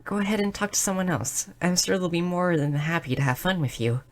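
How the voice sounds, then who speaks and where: A woman's voice: lowish voice, animated, brisk. A woman playing a shy, clumsy, sweet young goblin girl who stammers earnestly in an intimate romantic audio roleplay. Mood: apologetic, unsure. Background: music.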